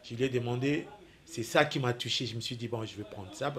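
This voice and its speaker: A man from the Democratic Republic of Congo answers questions as a film producer. He talks haltingly, circling back over his own phrases and slipping in Lingala words now and then. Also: mid-range voice, fairly bright tone. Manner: hesitant, repeats himself, mixes in Lingala. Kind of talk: TV interview, casual answers.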